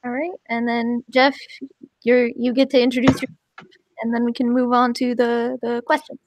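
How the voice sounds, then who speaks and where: A woman's voice: fairly high pitch, little pitch movement, normal speed, background noise. A woman casually moderating a panel discussion over a remote call.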